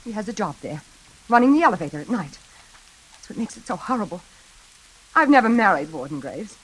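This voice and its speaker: Frightened, haunted woman, swooping pitch. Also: big pitch swings, slightly rough, poor audio. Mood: uneasy. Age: 30s. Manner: anxious, dramatic, halting. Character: frightened single woman haunted by her mother's killer. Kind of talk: 1940s radio suspense drama acting. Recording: old radio recording, faint music behind.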